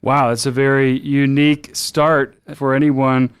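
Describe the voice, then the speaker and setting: A man hosting a podcast, asking long, measured questions of his guest. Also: mid-range voice, level tone, clean tone, dry recording. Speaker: podcast host. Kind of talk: interview questions.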